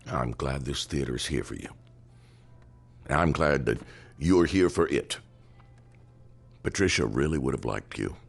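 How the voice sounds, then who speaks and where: An actor playing a gentle, courteous older widowed doctor in an audio drama, speaking softly and with some hesitation. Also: male voice, rumbling low, animated, measured pace, vocal fry, pauses often, husky, full character voice. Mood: sympathetic. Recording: prominent music behind the voice.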